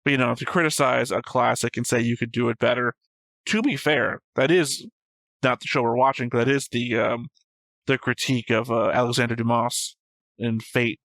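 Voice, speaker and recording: A man's voice: medium pitch, fairly even intonation, normal speed, a bit bright, no room sound, poor audio. A man co-hosting a reaction show, chatting casually and wandering into joking tangents. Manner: rambling, joking, talks in tangents. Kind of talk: casual discussion and commentary.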